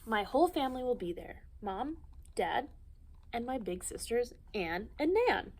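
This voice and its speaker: Woman voicing an excitable, grumpy young male chimp. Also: fairly high pitch, unhurried, a little hiss or hum, voice pushed up. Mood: excited.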